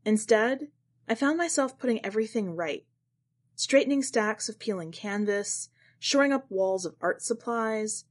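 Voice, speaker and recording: A woman's voice: on the high side, lively intonation, unhurried. A woman narrating a first-person literary short story for a fiction podcast, in a scripted read-aloud style. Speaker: podcast fiction reader and editor.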